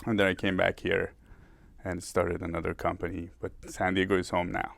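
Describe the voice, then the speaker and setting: Deep-voiced male CEO from Iran, earnest with frequent restarts, dry close sound. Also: monotone, moderate pace, vocal fry, fairly bright tone, no room sound. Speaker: company CEO guest. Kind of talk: interview talk.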